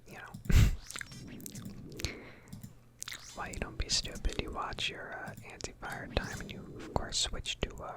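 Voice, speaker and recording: A woman softly doing ASMR commentary over video game play, hesitant and self-deprecating, close to the microphone. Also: unhurried, long pauses. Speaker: gaming video creator.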